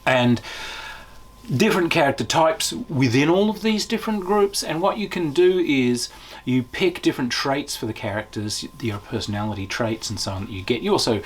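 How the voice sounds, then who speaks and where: Husky man giving a casual game review. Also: mid-range voice, moderate pace. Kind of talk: casual unscripted review to camera.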